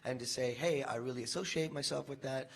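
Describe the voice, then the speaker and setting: A man, a voice actor and former stand-up comic, telling animated, rambling stories on a convention panel. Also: mid-range voice, a little fast, gravelly. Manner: animated, rambling, self-interrupting.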